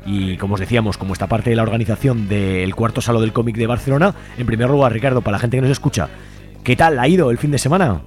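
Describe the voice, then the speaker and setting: A man's voice: mid-range voice, fast talker. A man with an Aragonese Spanish accent hosting a casual radio interview, chatty and a little self-deprecating. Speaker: radio host.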